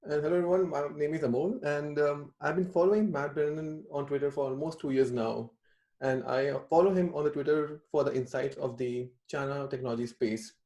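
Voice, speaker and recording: A man's voice: on the high side, fairly even intonation, a little fast, mellow. A man co-hosting a podcast who talks in an eager, enthusiastic way. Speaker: podcast co-host. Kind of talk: casual podcast chat. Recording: echoey room.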